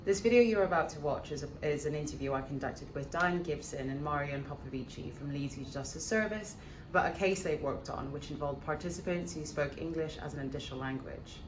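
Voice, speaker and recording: A woman's voice: low-pitched, fairly quick. A young woman giving a read-out introduction to camera as an interviewer. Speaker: development officer, interviewer. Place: room indoors, plain wall. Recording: echoey room with noise.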